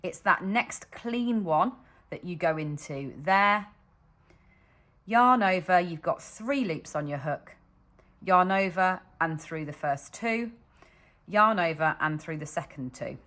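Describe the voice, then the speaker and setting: British lady walking you through crochet stitches, patient, lowish voice. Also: lively intonation, slow pace, fairly bright tone. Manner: patient, explaining while demonstrating. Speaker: crochet instructor. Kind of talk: step-by-step tutorial. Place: indoors at a wooden table, hands only.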